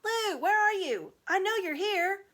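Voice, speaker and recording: A woman voicing a peevish young schoolboy in a storybook, who calls out loudly or grumbles sulkily. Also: very high-pitched, animated, unhurried, pitched above the performer's own voice, heavily acted. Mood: calling out. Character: Edmund, peevish young English schoolboy, shouting and muttering.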